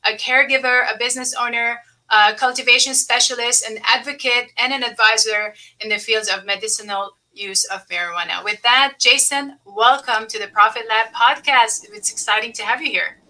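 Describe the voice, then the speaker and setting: A woman's voice: a little high, fairly even intonation, normal speed, hardly any pauses, bright, forceful tone, poor audio. A woman from Lebanon hosting a live podcast interview, chatty and loose, with many ums and restarts. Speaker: podcast host.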